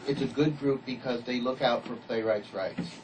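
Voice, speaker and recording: A man's voice: medium pitch, moderate pace, far from the mic, background noise. A man being interviewed on radio as a playwright and producer, explaining things casually with examples.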